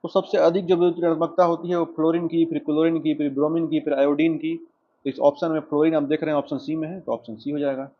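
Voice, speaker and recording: Higher-pitched Indian male teacher, dull recording. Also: flat delivery, fast talker. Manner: explanatory, often addressing students directly. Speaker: exam coaching teacher. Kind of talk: lesson, working through quiz questions and explanations. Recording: dull lo-fi microphone sound.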